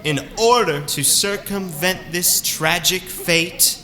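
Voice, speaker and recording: A woman's voice: fairly low pitch, slow, bright, forceful tone. A woman playing a self-important, flustered island mystic with theatrical delivery in a comedic audio drama. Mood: solemn, grandiose. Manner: theatrical, grandiose.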